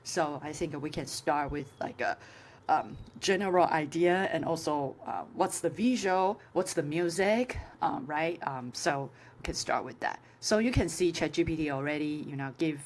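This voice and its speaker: A woman with a Chinese accent, a native Chinese speaker, giving an informal tutorial as a course instructor, with halting phrasing full of filler sounds. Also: low-pitched, slight background noise. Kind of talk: informal screen-share tutorial.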